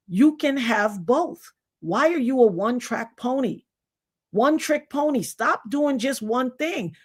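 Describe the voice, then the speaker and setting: A woman in her fifties, hosting her own channel and lecturing her viewers in a casual, preachy way, calling them y'all. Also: a little high. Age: 50s. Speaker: YouTube channel host. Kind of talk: casual talk to camera.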